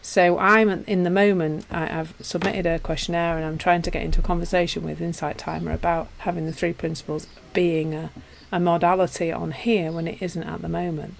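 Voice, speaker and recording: A woman with a Yorkshire accent teaching and chatting casually with viewers on a live stream, self-deprecating and warm in her asides. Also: on the low side, little pitch movement, fairly quick, vocal fry, hardly any pauses. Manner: chatty and self-deprecating, with frequent restarts and laughs. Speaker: meditation teacher.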